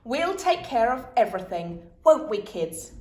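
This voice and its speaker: A woman's voice: on the high side, very animated, echoey room. A woman reading a storybook and voicing a cheerful, bossy father who gives orders like a sergeant. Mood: jolly commanding. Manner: brisk, commanding, sergeant-like.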